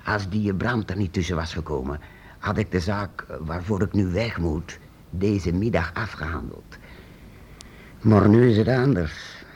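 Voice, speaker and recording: An actor playing a secretive, eccentric master painter-inventor in a radio play, hesitant and halting, then urgent. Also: male voice, deep voice, darkish tone, slight background noise. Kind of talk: radio drama acting.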